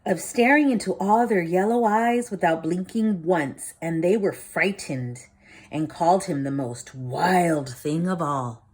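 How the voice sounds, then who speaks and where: A woman reading a picture book aloud, expressive, dropping low and soft for dramatic lines. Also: a little slow. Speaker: storybook reader.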